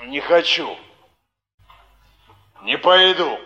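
An actor playing a restless, blunt, contemptuous young thief and lover in a theatrical stage drama, with a dismissive delivery. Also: male voice, on the high side, animated, extremely slow, bright, forceful tone, muffled recording, exaggerated voice. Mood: bored, sullen. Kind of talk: Soviet 1950s-70s stage drama acting.

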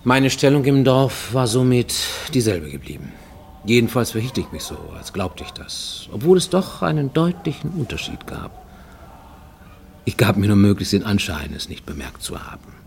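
An actor playing a haunted man who recounts childhood guilt in a halting, brooding radio-play monologue, at times sinking toward a whisper. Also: medium pitch, very animated, creaky, darkish tone, slight background noise. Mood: reflective, resigned. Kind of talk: German radio play, first-person confessional monologue.

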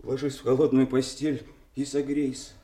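An actor playing a frantic, pitiful mad beggar haunted by demons, rambling and chanting in a theatrical radio play. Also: male voice, medium pitch, level tone, normal speed, dark tone, echoey room, lightly acted. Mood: pitiful raving. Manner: rambling, chanting, erratic outbursts. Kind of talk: theatrical radio drama acting.

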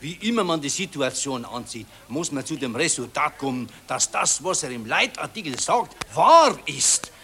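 Smug self-satisfied citizen, old radio drama, ponderous, very up and down delivery. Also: male voice, medium pitch, very animated, normal speed, hardly any pauses, sharp tone. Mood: argumentative. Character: smug, ponderously agreeing male coffeehouse citizen. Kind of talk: 1940s German radio drama acting.

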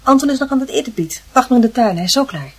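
A woman playing a reassuring, slightly prim mother in a radio play, explaining things hesitantly with a slightly husky voice. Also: high-pitched, expressive, fast talker, a little mellow. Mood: matter-of-fact.

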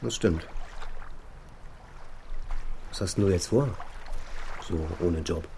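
A man's voice: low-pitched, slow pace, gravelly, dark tone, slight background noise, full character voice. An actor playing a laid-back, slightly needy male buddy in a German radio crime drama, dry and colloquial.

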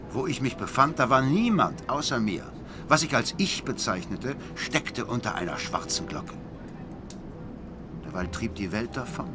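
An actor playing a blind, worldly music critic in a radio drama, speaking eloquently and deliberately with bitter passion. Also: male voice, fairly low pitch. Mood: brooding, bitter. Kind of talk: radio drama dialogue and monologue. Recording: music behind the voice.

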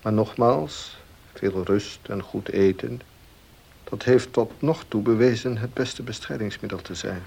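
Grave family doctor for period radio drama: gravelly, husky, formal and measured. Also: male voice, on the low side, darkish tone, exaggerated voice. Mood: sober, advising.

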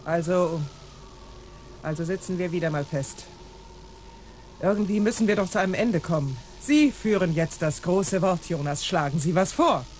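Smug doctor villain, high pitch, querulous, condescending, radio play, loud vehicle noise. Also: male voice, expressive, moderate pace. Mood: irritated. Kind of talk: German sci-fi radio play acting.

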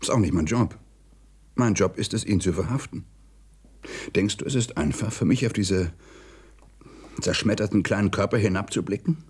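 An actor playing a dogged, soft-hearted middle-aged police detective in a radio drama, earnest and insistent. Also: male voice, on the low side, moderate pace, long pauses, breathy edge. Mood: grave, earnest. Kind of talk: German radio drama acting.

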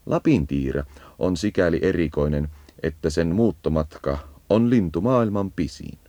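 A man's voice: low voice, mellow, dry recording. A man narrating a scripted educational birdsong guide, leading the listener as if on a field walk.

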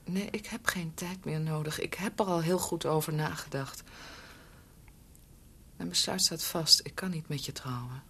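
An actress playing a gentle young woman in a Dutch radio drama, speaking earnestly and emotionally, often breathy. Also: deep voice, moderate pace, slight background noise. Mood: anguished, apologetic. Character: gentle young woman, devoted niece of a doctor.

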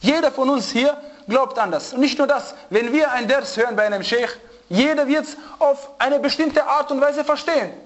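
Man, high-pitched voice, informal religious teacher, lively storytelling, restarts phrases. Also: moderate pace, muffled recording. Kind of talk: informal religious lesson with anecdotes.

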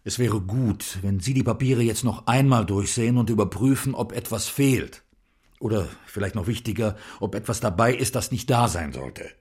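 An actor playing a stern police inspector who questions slowly and formally, with a husky voice. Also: male voice, on the low side, a little mellow. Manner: slow, deliberate, formal questioning. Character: stern male police inspector, measured and probing. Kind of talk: audio drama acting.

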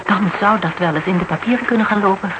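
A woman's voice: medium pitch, quick pace, natural voice. An actress playing a cool, shrewd woman in a Dutch radio drama, speaking in a dry, businesslike, probing way. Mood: formal, probing. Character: cool, shrewd woman posing as insurance investigator. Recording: noisy old radio drama recording.

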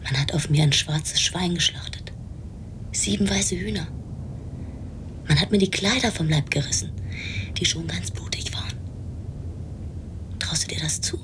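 A woman's voice: a little slow, long pauses, whispered, close to an everyday voice. An actress playing a bossy, superstitious young woman in a radio drama, speaking in an insistent, assertive way. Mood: conspiratorial. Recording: rumbling noise behind.

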